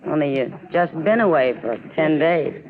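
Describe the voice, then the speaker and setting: A woman's voice: deep voice, animated, normal speed. An actress playing a worldly, teasing saloon woman in an old radio western, with a casual, wry manner. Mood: wry, wistful. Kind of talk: 1950s radio western acting. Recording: tinny old radio, saloon crowd behind.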